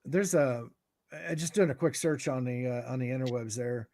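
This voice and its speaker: Middle-aged man, American accent, mid-pitched voice, informal explainer, halting delivery. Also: darkish tone. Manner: halting, thinking aloud. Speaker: guest radio expert.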